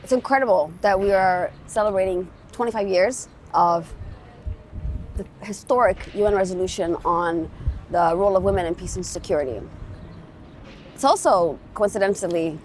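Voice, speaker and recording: A woman's voice: mid-range voice, slight background noise. A woman from Somalia giving earnest answers as an interview guest about her work in advocacy. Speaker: interview guest, peace organisation executive.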